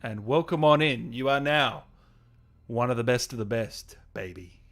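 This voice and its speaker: A man hosting a casual livestream, reading out viewer messages and thanking people with effusive, drawn-out, hyped shout-outs. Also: mid-range voice. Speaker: livestream host and channel owner. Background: music playing behind the voice.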